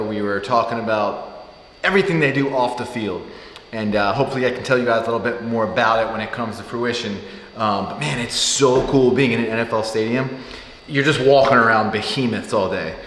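A man in his thirties chats to camera for his vlog, upbeat and loose with plenty of "uh" and "like". His voice sits at an ordinary middle pitch. The room is echoey, with steady background noise. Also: brisk. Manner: excited, chatty, filler-heavy. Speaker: vlogger. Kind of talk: casual vlog talk to camera. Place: studio in front of a green screen.

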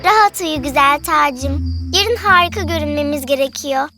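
A woman voicing an excitable, bossy baby girl detective in a children's cartoon dub, dramatic and insistent. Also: high voice, moderate pace. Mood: protective. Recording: music bed under the dialogue. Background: children's music.

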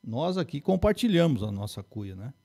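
Gravelly gaúcho man explaining facts. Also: mid-range voice, moderate pace. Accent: Rio Grande do Sul gaúcho Portuguese. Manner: fact-heavy, steady explaining with figures. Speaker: forestry engineer guest. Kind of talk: explanatory studio interview. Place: small TV studio with banners.

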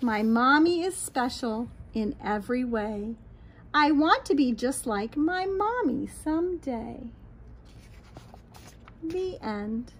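High, sing-song American woman reading to children. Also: expressive, takes it slowly. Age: middle-aged. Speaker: children's read-aloud host, teacher. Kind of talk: expressive picture-book read-aloud for young children. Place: small backyard patio, outdoors. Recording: light outdoor background noise.

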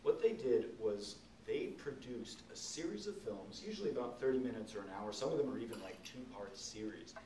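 A middle-aged man speaking as an author at a public talk, explaining and telling stories in an earnest, emphatic way with halting pauses. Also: mid-range voice, lively intonation, dark tone. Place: indoor event room, seated on stage. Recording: roomy, distant camcorder sound, faint noise.

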